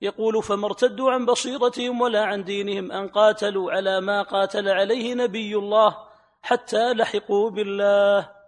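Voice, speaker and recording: High-pitched male reader, reverberant hall. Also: little pitch movement, brisk. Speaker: student reader. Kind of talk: reading a religious text aloud.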